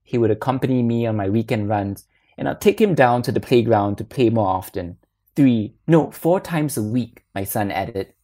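Woman, literary narration, extremely low pitch, soft dark timbre, measured, expressive storytelling. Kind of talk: literary short story reading.

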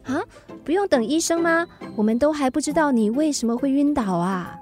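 An actress with a Singaporean accent playing a cheeky, doting young daughter in a radio drama, perky and affectionately nagging. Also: female voice, sits high, animated, brisk, a little mellow, faint music behind. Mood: worried. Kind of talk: Mandarin radio drama acting.

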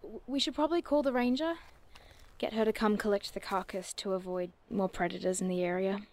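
A woman's voice: medium pitch, steady tone, brisk, darkish tone, close to an everyday voice. A woman playing a curious, bookish cryptid investigator in an audio drama, earnest and wordy with dry playfulness. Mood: calm, wry. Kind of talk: audio drama acting, naturalistic dialogue.

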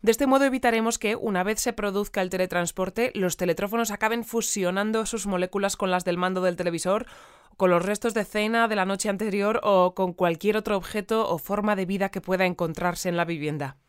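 Clear-voiced Spanish newsreader played by an actress. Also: female voice, mid-range voice, brisk, no room sound, clean studio sound, lightly acted. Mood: composed, formal. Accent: Spain. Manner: formal, orderly, articulate. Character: composed female newsreader of a futuristic community bulletin. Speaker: news bulletin presenter. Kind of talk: scripted radio news reading and interview.

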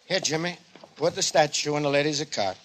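A man's voice: a little high, fairly quick, natural voice. An actor playing a good-natured, naive Italian immigrant man in a 1940s radio comedy, speaking earnest broken English with an Italian accent. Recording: noisy vintage 1940s radio recording.

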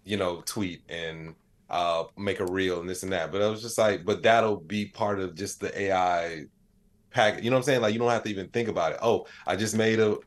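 A man's voice: low-pitched, fairly even intonation, fairly quick. A man speaking as a podcast guest in a casual, rambling, slangy conversational style. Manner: rambling, slangy, frequent "you know what I'm saying". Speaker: podcast guest, AI expert and comedian.

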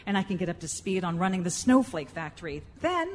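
A woman's voice: lowish voice, big pitch swings, brisk. A woman playing a plucky, patient mother in a lighthearted radio play. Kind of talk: comedic live radio play acting. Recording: echoey theatre hall with audible noise.

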